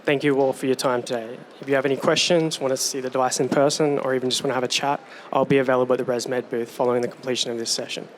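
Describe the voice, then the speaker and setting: A man giving a rehearsed startup pitch on stage with a steady, polished delivery. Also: very fast, few pauses, a little hiss or hum. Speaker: startup presenter.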